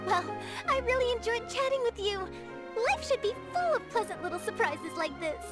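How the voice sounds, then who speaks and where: A bubbly young female reporter from a video game, with a very high and animated voice. She speaks in an eager, polite, chatty way, with music clearly playing behind her. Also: moderate pace. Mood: cheerful, friendly. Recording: music under the voice.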